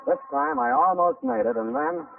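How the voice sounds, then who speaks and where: An actor playing a hard-boiled private detective, narrating with wry, deadpan American delivery in a 1940s radio drama. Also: male voice, very high voice, normal speed, gravelly, far from the mic, heavily acted. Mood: weary, wry. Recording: muffled, noisy 1940s radio recording.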